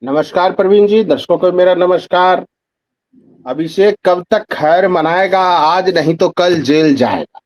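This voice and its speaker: A man's voice: high voice, measured pace, a little mellow, no room sound. A man speaking as a guest news analyst in conversational, pointed explanation, posing questions and answering them, over dull lo-fi audio. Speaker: senior journalist and political analyst, guest. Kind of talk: conversational political commentary.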